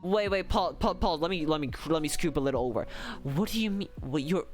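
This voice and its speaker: A man's voice: on the high side, a little fast. Playful young man streaming, music behind. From Czech Republic. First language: Czech. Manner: playful, slangy, rambling with repeated phrases. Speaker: livestreamer, VTuber. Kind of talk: casual chat with stream viewers.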